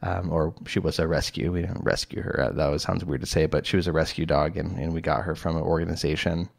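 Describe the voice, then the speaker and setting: A man's voice: a bass voice, steady tone, fast talker, gravelly, darkish tone. A middle-aged man hosting a solo podcast, talking casually and rambling with lots of fillers and restarts. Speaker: podcast host, psychologist.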